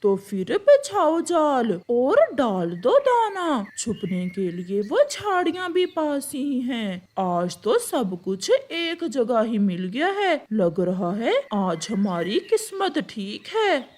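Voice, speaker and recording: Woman doing a bossy, slow-spoken cartoon father crow. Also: on the high side, big pitch swings, darkish tone, heavily acted. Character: bossy male crow hunter, husband and father. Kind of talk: children's cartoon story acting.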